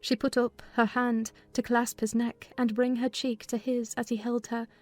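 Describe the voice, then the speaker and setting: A woman narrating a dramatized literary audiobook in a measured, expressive storytelling manner. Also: on the high side, no room sound.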